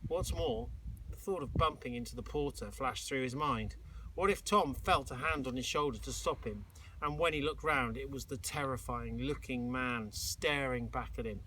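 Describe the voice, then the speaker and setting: A man reading a children's storybook aloud like a teacher, expressively and dramatically, with suspenseful pauses. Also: medium pitch, sharp tone, a little hiss or hum, low-quality recording. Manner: dramatic, with exclaimed sound effects and suspenseful pauses.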